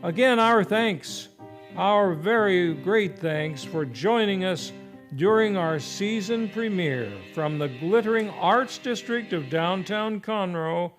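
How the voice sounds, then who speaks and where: A man's voice: high voice, expressive, takes it slowly. A man hosting a live radio theater show, reading a formal, theatrical sign-off in old-time announcer style. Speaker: series host and announcer. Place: theater stage, live broadcast. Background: live music.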